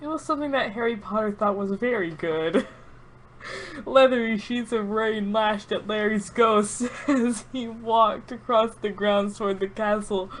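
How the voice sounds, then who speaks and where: A woman's voice: mid-range voice, a little hiss or hum. A woman reading an absurd story aloud with mock-serious drama, often breaking into giggles.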